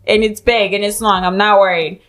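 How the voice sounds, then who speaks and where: A woman's voice: medium pitch, fairly quick, faint music behind. A young woman from Zimbabwe co-hosting a casual chat, telling stories bluntly and animatedly. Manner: animated, blunt storytelling with quoted voices and laughs. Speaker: podcast co-host.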